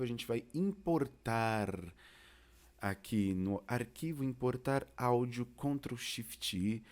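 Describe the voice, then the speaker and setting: A man with a Brazilian accent giving an informal software tutorial, explaining casually as an instructor. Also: mid-range voice. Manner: casual, explanatory, uses diminutives.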